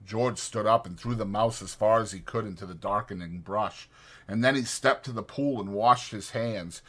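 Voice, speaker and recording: A man's voice: on the low side, moderate pace, fairly bright tone. A man reading literary fiction as a dramatized audiobook narrator.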